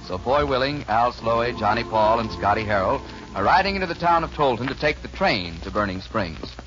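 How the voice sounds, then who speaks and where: A man's voice: medium pitch, lively intonation, fairly quick. A man working as a radio announcer, reading scripted narration in a polished 1940s storytelling style. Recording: dull 1940s radio recording, faint music.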